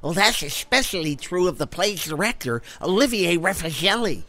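An eager, gossipy informant in a comedy radio play. His voice is bright and forward, and he talks in an effusive, chatty way. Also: sits high, lively intonation, normal speed. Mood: confiding, gossipy. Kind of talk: comedy audio drama acting.

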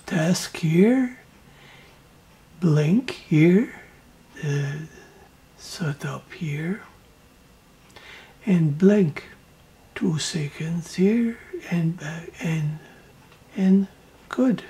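A man narrating a hands-on electronics tutorial in halting, clipped short phrases, with a breathy voice that trails off into whispers. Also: on the high side, lively intonation, dark tone. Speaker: electronics project presenter.

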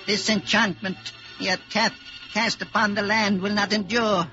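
An actor playing a scheming, grandiose old wizard in an old-time radio drama, with portentous archaic speech. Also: male voice, sits high, flat delivery, unhurried, bright, forceful tone, faint music behind. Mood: ominous, scheming. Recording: dull vintage radio broadcast recording.

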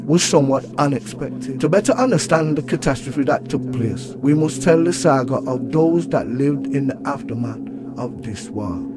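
An actor playing a solemn, sardonic narrator of a science-fiction audio drama, with measured, mock-grave delivery. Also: male voice, medium pitch, animated, very noisy, natural voice.

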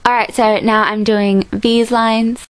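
A woman's voice: a little high, level tone, takes it slowly, clean tone, no echo. A young woman introducing her voice audition in an informal, self-deprecating way. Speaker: amateur voice actor auditioning.

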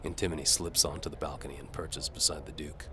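A man's voice: very deep voice, steady tone, fast talker, bright, forceful tone, slight background noise. A man reading a first-person hardboiled detective story in a wry, world-weary manner. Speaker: audiobook narrator.

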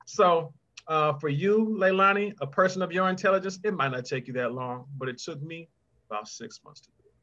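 Middle-aged male children's author, higher-pitched, encouraging and conversational with kids, on a dull-sounding video call. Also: a little fast, sharp tone. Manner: conversational, addresses children directly by name. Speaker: guest children's author and mentor. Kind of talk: encouraging question-and-answer talk to children.

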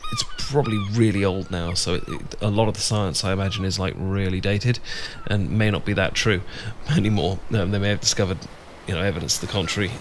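Man acting a low-voiced, adorkable British friend. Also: brisk, few pauses, natural voice. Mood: bashful, rambling. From Britain. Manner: hesitant, stammering, rambling. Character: adorkable, awkward, bashful young male friend with a crush. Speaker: ASMR roleplay voice performer. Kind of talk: ASMR boyfriend roleplay, improvised-sounding casual chat. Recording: clear background noise with ambience.

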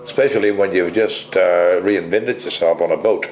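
An older man originally from the Netherlands, being interviewed at home and talking bluntly, with plenty of swearing and pauses. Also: low voice, reverberant, noisy background. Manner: blunt, salty, rambling with many pauses. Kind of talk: casual interview. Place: kitchen at home, indoors.

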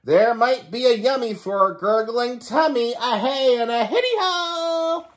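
A man's voice: very high voice, a little slow, voice pushed up. A man voicing hungry marching ants from a storybook in a sing-song rhyming chant. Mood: eager chanting.

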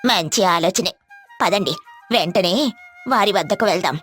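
A woman's voice: lowish voice, normal speed, fairly bright tone, faint music behind, close to an everyday voice. A woman acting scolding old village wife. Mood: chiding. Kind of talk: animated moral story dialogue.

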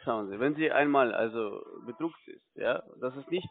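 A non-native man with a foreign accent who speaks German in broken phrases, defensive and halting. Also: medium pitch. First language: not German. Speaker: call center scammer. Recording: tinny phone line.

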